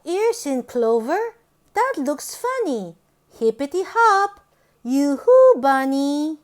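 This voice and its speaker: Female children's storybook reader, high voice, lively swooping intonation, sing-song and deliberate. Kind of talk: read-aloud picture book storytelling for young children.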